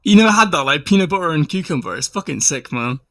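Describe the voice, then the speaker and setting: Young student guest, bright tone, relaxed slangy chatter. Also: male voice, a little high, quick pace. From north of England. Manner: laid-back, sweary, slangy. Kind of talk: casual chat on a live stream.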